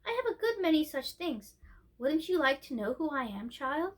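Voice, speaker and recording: A woman voicing a very old, gentle and mysterious great-great-grandmother queen in a storybook, speaking patiently. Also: high-pitched, sing-song, moderate pace. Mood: gentle, knowing.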